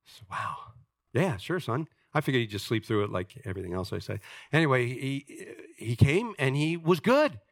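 A man in his sixties preaching a sermon as pastor, emphatic and jokey, swinging between loud points and quiet asides. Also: medium pitch, very animated, moderate pace, no echo, studio quality. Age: 60s.

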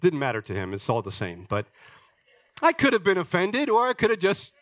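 Pastor, man, 60s; high, bright voice, dry recording, emphatic and humorous sermon delivery. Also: huge pitch swings, fairly quick, no room sound. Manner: emphatic, jokey preaching with wide swings in loudness.

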